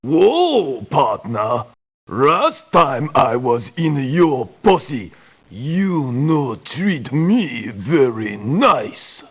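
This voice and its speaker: Real slow husky cowboy merc for a game, folksy n cocky, broken english. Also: male voice, mid-range voice, big pitch swings, heavily acted. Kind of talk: video game character voice lines.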